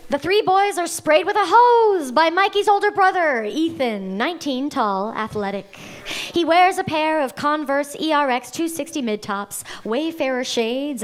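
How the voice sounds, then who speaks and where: A woman reading stage directions as narrator at a live table read, in a measured way. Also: high voice, slight background noise.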